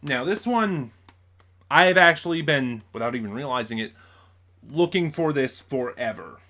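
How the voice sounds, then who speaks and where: A man's voice: mid-range voice, animated, moderate pace, pushed and bright. A man vlogging a casual unboxing review, explaining things in a rambling way and often correcting himself. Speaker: vlogger reviewing audio drama purchases.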